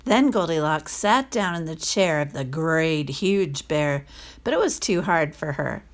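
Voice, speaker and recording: A woman reading a children's story aloud in a careful, expressive narrating style. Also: sits low, measured pace. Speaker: storybook reader.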